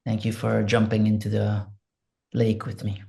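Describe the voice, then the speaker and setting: A man from Israel with an Israeli accent, speaking in English as an interview guest, soft and hesitant, searching for words and leading a quiet prayer. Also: fairly low pitch, monotone, normal speed, creaky. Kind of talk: slow reflective interview talk with a spoken prayer.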